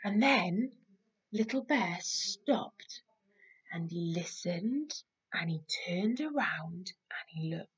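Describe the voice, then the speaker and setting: A woman reading a children's story aloud in an expressive, deliberate way, with dramatic pauses. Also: fairly low pitch, husky, muffled recording.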